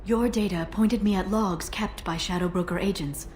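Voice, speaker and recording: An actress playing a composed, determined female information broker in a sci-fi video game, measured and earnest. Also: medium pitch, darkish tone, natural voice. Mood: focused. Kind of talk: scripted video game dialogue. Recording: noisy ambient background.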